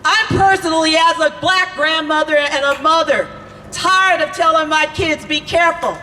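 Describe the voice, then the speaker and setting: An older woman, a grandmother and preacher, giving a fiery protest speech outdoors, often shouting in repeated phrases. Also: high voice, moderate pace, slight background noise. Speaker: preacher speaking at a rally. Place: outdoor rally at city hall. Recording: reverberant PA sound with loud crowd.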